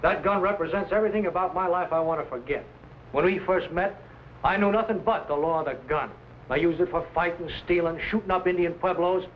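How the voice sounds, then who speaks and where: An actor playing an earnest young hired hand, a reformed gunslinger, polite and deferential in an old adventure drama. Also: male voice, sits high, brisk, close to an everyday voice. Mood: sincere, grateful. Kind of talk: vintage adventure drama acting. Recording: dull, noisy vintage drama soundtrack.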